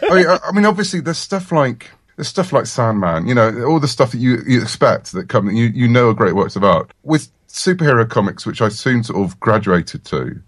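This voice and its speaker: A man's voice: mid-range voice, very animated, fairly quick, few pauses, no echo, clean studio sound. An English man in his forties, a writer being interviewed, chatting casually and enthusiastically. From England. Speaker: comic book writer, guest.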